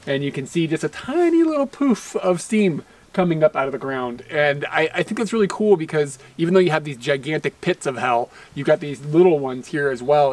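A man in his thirties talking in a laid-back, rambling way as a travel vlogger, filmed outdoors by a camera. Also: on the high side. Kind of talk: casual travel vlog talk. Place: outdoors at volcanic hot springs. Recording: outdoor noise, steam vents. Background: hissing steam vents.

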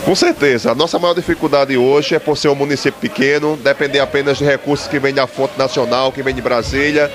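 A man in his thirties with a Northeastern Brazilian accent, answering an interview as a mayor in formal, measured political phrasing. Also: mid-range voice, fairly even intonation. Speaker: town mayor being interviewed. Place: outdoor night event, in front of a sponsor backdrop. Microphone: handheld microphone held by interviewer. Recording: loud crowd noise behind, outdoors.

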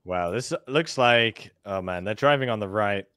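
Low, bright-voiced man gaming on a call. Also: smooth. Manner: quick, chatty, thinks aloud. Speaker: gamer guest. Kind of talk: casual chat while gaming. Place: home room on a video call.

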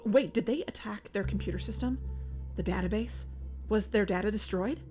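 A woman's voice: medium pitch, moderate pace, mellow, soft background music, natural voice. A woman playing an anxious, driven female podcast investigator in an audio drama, earnest and urgent. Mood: alarmed, urgent.

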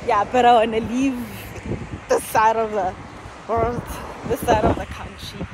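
South african girl in her 20s vlogging on a walk, slightly high voice, lots of street noise, phone at arm's length. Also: fairly even intonation, a little slow. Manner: chatty, rambling with many "like" fillers. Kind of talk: casual vlog chat. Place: outdoors on a street at sunset. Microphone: phone held at arm's length.

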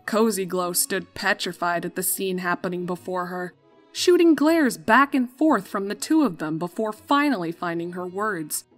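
A woman's voice: on the low side, sing-song, normal speed, soft background music. A woman narrator reading a scripted story.

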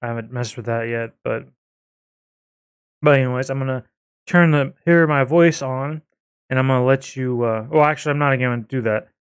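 A man giving a casual software demo in an informal, hesitant, rambling way, close to a desktop microphone. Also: medium pitch, normal speed, smooth, dry recording. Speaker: video creator reviewing software.

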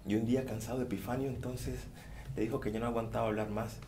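A man performing a folktale on stage, speaking rhythmically and repeating phrases, often sinking to hushed tones. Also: medium pitch, little pitch movement, fast, slight background noise. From Colombia. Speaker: storyteller. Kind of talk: theatrical oral storytelling. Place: dark theater stage.

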